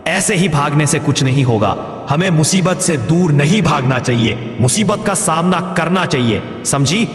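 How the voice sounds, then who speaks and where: A man's voice: on the high side, lively intonation, normal speed, hardly any pauses, lightly acted. A man voicing a stern, proud, aging lion king in an animal cartoon, speaking emphatically in an admonishing, commanding way. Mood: firm, reassuring. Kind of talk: animated moral story dialogue. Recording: echoey, long reverb.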